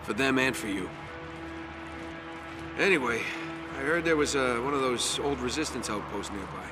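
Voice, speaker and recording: An actor playing a gruff, commanding resistance squad boss in a video game, blunt and urgent. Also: male voice, medium pitch, normal speed, music in the background, lightly acted. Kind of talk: video game cutscene acting.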